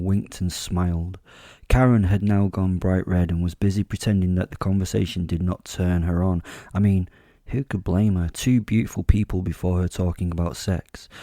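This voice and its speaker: Guy playing a wry killer werewolf telling his story, audio drama, low mellow voice, no room sound. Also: no room sound, studio quality, natural voice. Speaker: narrator. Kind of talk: first-person audio drama narration.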